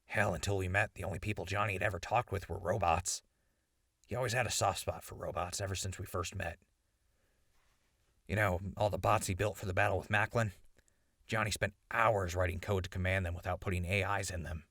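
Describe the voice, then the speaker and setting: A man's voice: deep voice, steady tone, a little fast, a bit bright, no echo, clean studio sound. A man playing a wry, loyal, talkative ghoul wastelander in a post-apocalyptic audio drama. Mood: fond, reflective.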